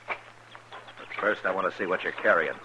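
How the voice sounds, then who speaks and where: A man's voice: low-pitched, measured pace. An actor playing a stern, commanding Old West lawman with an American accent and a curt manner in a 1950s radio western. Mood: firm, curt. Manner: curt, authoritative, giving orders. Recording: dull, noisy old radio recording.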